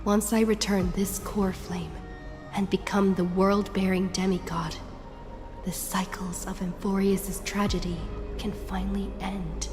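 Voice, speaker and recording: A woman playing a solemn, determined but wary young game heroine in a hushed, pensive way. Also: medium pitch, flat delivery, unhurried, over music, natural voice. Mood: resolute. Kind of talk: scripted game voice acting.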